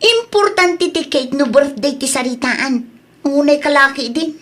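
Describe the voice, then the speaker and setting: Lady acting a bossy spendthrift ilocano mom, insistent, highish voice, audio drama. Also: lively intonation, a little slow, a bit bright. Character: insistent, spendthrift young mother planning a party.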